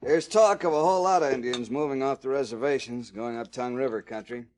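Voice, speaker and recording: An actor playing a blunt, wary frontier newspaperman in a 1950s radio drama, with a gruff casual drawl. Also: mid-range voice, quick pace, darkish tone, natural voice.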